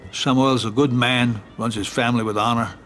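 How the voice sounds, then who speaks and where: An actor playing a level-headed middle-aged mob lawyer and advisor who speaks calmly and in a measured way in a game cutscene. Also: male voice, mid-range voice, natural voice.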